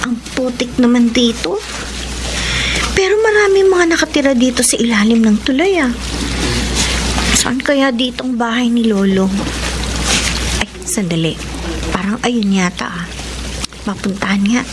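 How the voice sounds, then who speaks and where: A caring young granddaughter played by a woman with a high, girlish pitch. She is polite and gentle in a Filipino radio drama, with clear noise behind her. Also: measured pace, pauses often, natural voice. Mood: searching.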